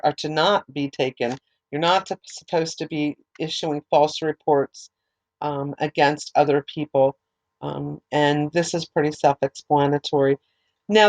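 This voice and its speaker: A woman's voice: fairly low pitch, darkish tone, rough recording. Woman teaching a Bible study. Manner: measured reading with hesitant, filler-filled commentary. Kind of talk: Bible study teaching, reading scripture aloud and explaining it.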